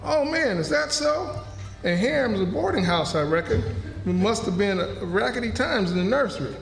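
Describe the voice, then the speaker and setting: A man's voice: sits high, expressive, normal speed, vocal fry. A man playing a good-hearted, stubborn runaway slave in a radio play, talking in homespun rural dialect. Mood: curious, puzzled. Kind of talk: stage radio drama acting. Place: live stage in a hall. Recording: echoey hall, music behind.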